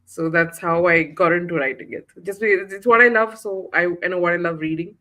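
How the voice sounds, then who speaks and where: A woman's voice: on the low side, brisk, pushed and bright. Hesitant woman author on a video call. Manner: hesitant, many fillers and restarts. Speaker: guest author. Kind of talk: casual interview chat.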